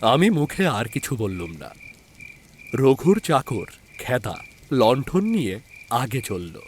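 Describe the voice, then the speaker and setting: A man narrating a scripted horror story in a dramatic, measured storyteller's manner with deliberate pauses. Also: mid-range voice, big pitch swings, a little mellow, slight background noise. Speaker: story narrator and channel host.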